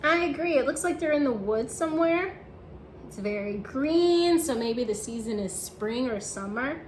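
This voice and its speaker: A high-voiced woman reads aloud to young children in an expressive, teacherly way. She coaxes them along with questions. The room is echoey, with faint noise behind her. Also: moderate pace. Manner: expressive, encouraging, instructive. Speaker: teacher reading a picture book aloud to children. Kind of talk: animated read-aloud with questions to young listeners. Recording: roomy echo with faint background noise.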